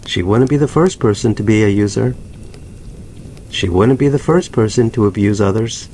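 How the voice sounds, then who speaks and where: A man narrating a scripted dramatic story with deliberate, theatrical phrasing and pauses. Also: on the low side, warm tone, a little hiss or hum.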